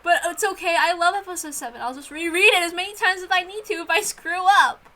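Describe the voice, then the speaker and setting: A woman's voice: extremely high pitch, fast talker, bright, forceful tone. A woman streamer chatting casually, rambling in an apologetic way and often interrupting herself. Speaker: streamer who reads visual novels aloud. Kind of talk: casual livestream chat.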